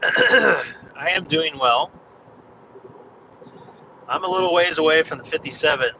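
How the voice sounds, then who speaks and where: A man slightly hoarse from losing his voice, chatting in a rambling way while driving. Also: on the high side, a little slow. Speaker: ham radio vlogger. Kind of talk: casual chat while driving. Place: inside a car on a freeway. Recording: dull muffled car recording with road noise. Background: road and engine noise.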